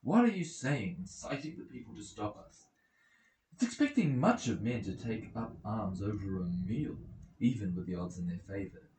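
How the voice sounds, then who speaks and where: A man's voice: on the low side, animated, moderate pace, darkish tone. A scornful young noble , acted by a male narrator, speaks with arrogant dismissiveness in an epic audiobook. The voice sneers, in a noticeably echoing room. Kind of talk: dramatic audiobook character reading. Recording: roomy echoing home recording.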